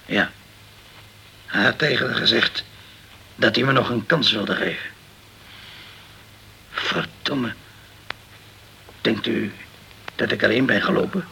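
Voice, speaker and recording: An actor playing a guilt-ridden, hard-drinking, middle-aged ship's captain in a radio crime drama, halting and defensive, stumbling over his words. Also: mid-range voice, creaky, sharp tone, a little hiss or hum, full character voice. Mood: troubled, suspicious.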